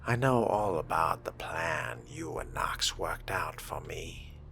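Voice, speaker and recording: A man voicing a suave, haughty, sly fledgling vampire for a video game role-play, arch, measured and theatrical. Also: a bass voice, expressive, gravelly, bright, forceful tone, slight background noise, heavily acted. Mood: smug, cool.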